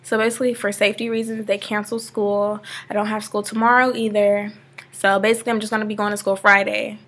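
A woman's voice: mid-range voice, flat delivery, fast, fairly bright tone. An eighteen-year-old woman from Georgia, rambling casually in a webcam vlog from her sofa, full of "like" fillers. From Georgia, USA. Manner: chatty and rambling, many "like" fillers. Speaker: vlogger. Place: living room, seated on a sofa indoors. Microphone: laptop webcam, close framing.

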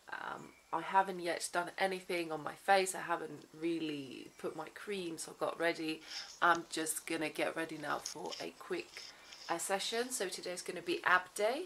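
Woman, thirties, lowish voice, chatty vlog, handheld camera, small living room. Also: fairly bright tone, slight background noise. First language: Swedish. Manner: chatty, reflective, many ums and restarts. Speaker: vlogger, human rights lawyer. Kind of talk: casual day-in-the-life vlog. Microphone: phone or camera at arm's length, then camera on the floor.

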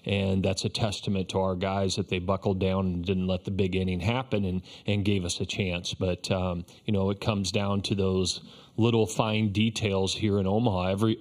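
A man in his fifties, a head baseball coach, answering questions at a postgame press conference in a measured, reflective way with frequent restarts, speaking into a table microphone. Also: low voice, a little mellow. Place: press conference room, indoors.

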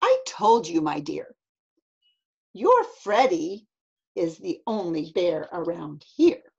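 A woman voicing a brisk, reassuring mother who waves away her child's fears in a singsong children's story reading. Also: on the low side, huge pitch swings, creaky, darkish tone, breathy edge, dull audio, exaggerated voice. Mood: dismissive. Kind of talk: children's picture-book read-aloud.

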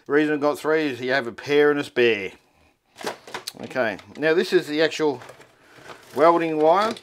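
A man's voice: mid-range voice, a little hiss or hum. An Australian man presenting an informal how-to tutorial in a laid-back, colloquial way. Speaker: presenter of a four-wheel-drive repair tutorial.

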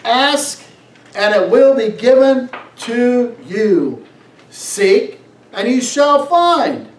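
Middle-aged male pastor, high voice, slow emphatic preaching, recorded in an echoey church. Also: a little hiss or hum. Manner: emphatic, exhorting, drawn-out phrases with rhetorical questions. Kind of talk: preaching a sermon, reading scripture aloud. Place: small church sanctuary, on stage. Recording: echoey room, distant from mic.

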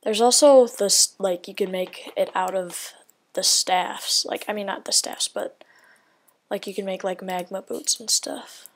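A woman's voice: mid-range voice, steady tone, unhurried. A woman giving casual gameplay commentary, thinking aloud in a rambling way and often dropping to a whisper. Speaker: gaming video creator.

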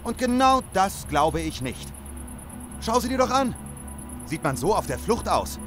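An actor playing a distrustful, hot-tempered survivor in a German zombie audio drama, stern and interrogating. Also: male voice, high voice, sing-song, a little slow, music in the background.

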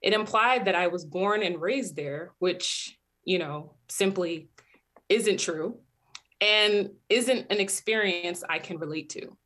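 A young American woman from New Jersey giving a measured, reflective personal talk as a PhD student, recorded over a webcam. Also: on the low side, fairly bright tone. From New Jersey, USA. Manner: earnest, measured, reflective storytelling. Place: indoor room at home.

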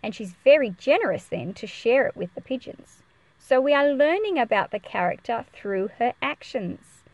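A woman's voice: fairly high pitch, moderate pace, no room sound, low-quality recording. A woman reading a picture book to children, expressively explaining it and asking them questions. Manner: expressive, explanatory, addressing young listeners with questions. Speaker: read-aloud presenter teaching children.

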